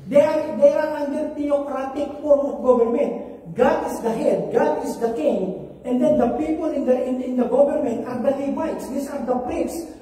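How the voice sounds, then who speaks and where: A woman's voice: high-pitched, dark tone. A woman from the Philippines with a Filipino accent, preaching a conversational sermon as a pastor, checking in with the audience and often restarting her sentences. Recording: echoey hall from far off.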